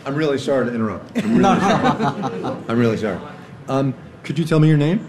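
A man's voice: mid-range voice, lively intonation, a little fast, a crowd in the background. A man reporting on location, doing a casual field interview in a friendly, encouraging way. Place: school classroom. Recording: noisy classroom field recording.

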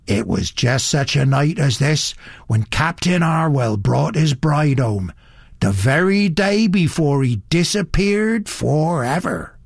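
A big, burly country innkeeper, folksy, gossipy and eager to please, as a male reader plays him. His talk rolls up and down in lively swings. The recording is close and dry. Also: fairly high pitch, takes it slowly, vocal fry, breathy edge, dry recording, exaggerated voice.

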